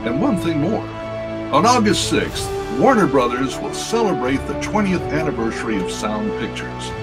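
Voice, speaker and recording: A male announcer delivers in formal 1940s radio fashion. His pitch sits a little high and moves with animated swings. Loud music plays behind him.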